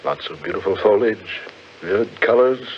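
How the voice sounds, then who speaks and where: An actor playing an earnest, brooding gentleman in a theatrical 1940s radio horror drama, insistent and given to hesitant pauses. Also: on the low side, lively intonation, close to an everyday voice. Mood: earnest, ominous. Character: earnest, brooding gentleman fascinated by eerie legends. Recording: muffled, noisy 1940s radio recording.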